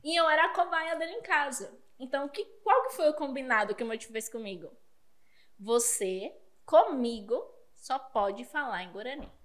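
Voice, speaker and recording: A woman's voice: high-pitched, expressive, moderate pace, a bit bright. A woman telling a childhood story in a casual podcast chat, laughing often. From Paraguay border region. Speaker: guest.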